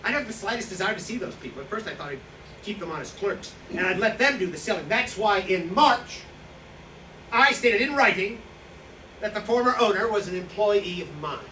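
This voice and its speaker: A man playing a self-pitying, self-justifying wartime profiteer in a staged reading, halting and indignant, with nervous chuckles. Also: high-pitched, lively intonation, sharp tone, far from the mic. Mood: smug, aggrieved. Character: self-pitying, self-justifying Nazi-era profiteer who seized a bookstore. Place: live staged reading in a room. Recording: echoey, noisy hall recorded from afar.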